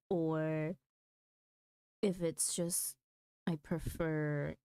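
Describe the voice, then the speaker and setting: A woman with a low-pitched, smooth voice talks casually as she streams a game. She takes her time, thinking out loud and hesitating as she weighs options. The sound is close and dry. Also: no room sound. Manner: hesitant, thinking aloud, mulling over choices. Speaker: game livestreamer. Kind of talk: casual livestream chat about gaming.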